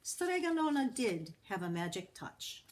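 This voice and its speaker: A woman reading a children's story aloud as a storyteller, deliberately and with care for young listeners. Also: mid-range voice, very animated, slight background noise.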